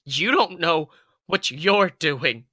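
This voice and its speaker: A man plays a young male fox from an animal adventure story. He is a hot-headed, brave wisecracker who speaks emphatically in a bright, higher-pitched voice. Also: lively intonation, slow pace, no echo, studio quality, exaggerated voice. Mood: furious. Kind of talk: storytelling character voice.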